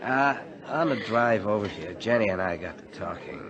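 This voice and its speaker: A man's voice: lowish voice, expressive, normal speed, natural voice. An actor playing a friendly, hesitant American man in casual radio drama dialogue. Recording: dull lo-fi sound, faint chatter.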